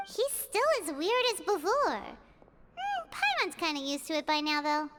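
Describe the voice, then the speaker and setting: A woman's voice: very high voice, huge pitch swings, normal speed, heavy background noise, exaggerated voice. A woman voicing a small, cheerful, childlike fairy companion in a video game, blunt and curious. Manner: refers to herself in third person, perky. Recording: background music behind the voice.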